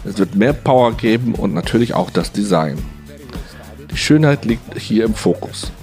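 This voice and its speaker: A man's voice: on the low side, animated, normal speed, darkish tone. A man reading a scripted voice-over translation of an interview. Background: music.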